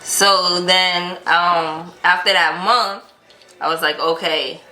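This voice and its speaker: A woman's voice: fairly low pitch, slow. An American woman telling a story in a casual, chatty way, acting out bits of quoted dialogue as host. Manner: animated retelling with quoted dialogue. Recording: roomy echo, light background noise.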